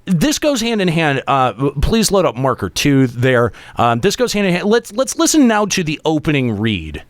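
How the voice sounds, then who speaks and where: A man hosting a casual radio talk show, giving halting, teasing and bossy feedback to his co-hosts. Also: on the high side, big pitch swings, dry recording. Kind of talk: casual on-air critique with co-hosts.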